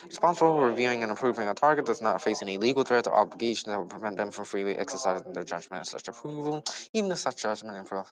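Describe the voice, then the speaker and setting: A man's voice: on the low side, animated, very fast, a crowd in the background, low-quality recording. A man reading a technical policy document aloud, stumbling over words and repeating phrases as he goes. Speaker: reader.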